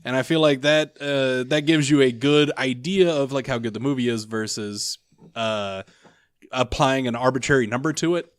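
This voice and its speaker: एक आदमी जो पॉडकास्ट का सह-होस्ट और फ़िल्म समीक्षक है, रूखे, सपाट मज़ाक करता है और बीच-बीच में अटकता है। आवाज़ सूखे, पास के माइक पर रिकॉर्ड है।. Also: male voice, mid-range voice, moderate pace, clean tone, no room sound. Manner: dry deadpan quips, hesitant fillers. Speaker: podcast co-host, movie reviewer. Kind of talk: casual podcast chat.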